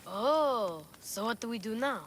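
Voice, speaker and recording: A woman's voice: fairly high pitch, measured pace, slight background noise. A woman voicing an eager young boy who keeps interrupting in a game cutscene. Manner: excitable, interrupting. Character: eager young boy searching for his father. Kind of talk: video game cutscene acting.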